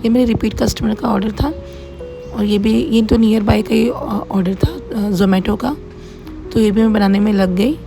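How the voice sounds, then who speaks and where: A middle-aged woman narrating a casual home-kitchen vlog in a plain, everyday way, her voice slightly hoarse as if under the weather. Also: mid-range voice, fairly even intonation, moderate pace, dark tone. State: mildly unwell, voice a little hoarse. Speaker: home cook running a cloud kitchen, vlogger. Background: background music.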